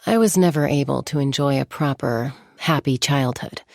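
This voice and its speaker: A confident young warrior lady from a game, lowish with some gravel, real dry close sound, calm and articulate. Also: no echo. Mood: tender, solemn. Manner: measured, articulate, reflective delivery. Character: composed, confident young woman, seasoned flying warrior and peacemaker. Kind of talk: scripted game character monologue.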